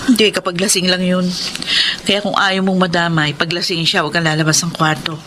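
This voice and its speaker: A woman's voice: lowish voice, little pitch movement, fairly quick, a bit bright, a little hiss or hum, lightly acted. A woman playing a long-suffering, defensive, exasperated mother in a Filipino radio drama. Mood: resigned.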